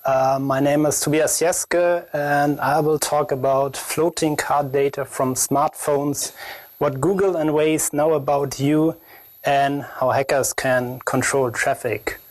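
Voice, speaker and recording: A young man with a German accent giving a technical conference presentation as a researcher, speaking in halting, non-native English phrasing. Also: fairly high pitch, steady tone, dry recording.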